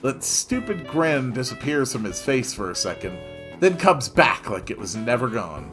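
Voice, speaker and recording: A man reading a story aloud in an expressive narrating style. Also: medium pitch, normal speed, over music.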